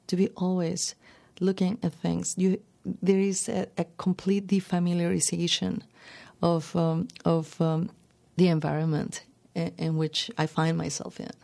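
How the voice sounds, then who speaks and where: Low, gravelly-voiced woman. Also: dark tone. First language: Spanish. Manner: thoughtful, hesitant, many restarts and fillers. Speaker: writer, interview guest. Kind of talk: reflective interview talk.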